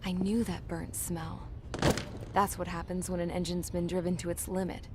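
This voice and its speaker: An actress playing a young, self-assured aircraft mechanic, narrating in a dry, sardonic manner for a video game. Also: female voice, lowish voice, flat delivery, moderate pace, very noisy, natural voice. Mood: wry, reflective. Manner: dry, sardonic, plain-spoken. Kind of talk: reflective scripted voice-over narration.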